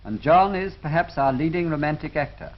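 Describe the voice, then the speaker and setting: A man with a British accent narrating a scripted 1930s film in a formal, measured way. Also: a little high. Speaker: documentary narrator. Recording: dull lo-fi 1930s film soundtrack.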